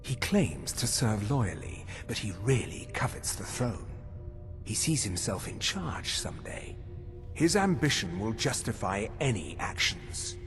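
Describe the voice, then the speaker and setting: An actor playing a scheming vampire courtier in a video game, confiding warnings in a measured, insinuating manner. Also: male voice, on the low side, very animated. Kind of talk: video game dramatic voice acting. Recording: long echoing hall, music behind.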